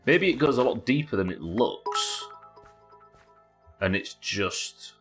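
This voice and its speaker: A man's voice: medium pitch, animated, slow pace, a bit bright, faint music behind. A man with a head cold, sniffly as he casually narrates his live gameplay, thinking aloud and chatting to viewers and his in-game pets. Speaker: livestreaming gamer.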